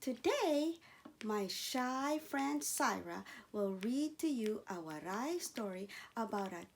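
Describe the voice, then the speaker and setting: A woman reading a children's story aloud in an expressive storytelling style. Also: fairly high pitch, slow, creaky, slight background noise.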